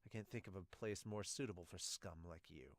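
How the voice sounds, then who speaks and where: Guy doing a gruff scornful soldier, manga dub, low n curt, kinda threatening, dry mic. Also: fairly quick, no room sound. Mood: contemptuous. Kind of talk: amateur manga dub reading.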